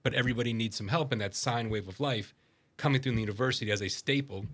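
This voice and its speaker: A man's voice: medium pitch, fast, fairly bright tone. A man talking on a podcast as a guest, confident and emphatic with a dry humor. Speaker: retired army general, guest. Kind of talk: casual podcast conversation.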